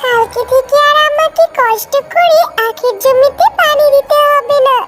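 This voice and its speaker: A woman's voice: extremely high pitch, measured pace, a bit bright, voice pushed up. A woman voicing an eager, chirpy little fox girl in a children's cartoon story. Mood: excited. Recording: music behind the voice.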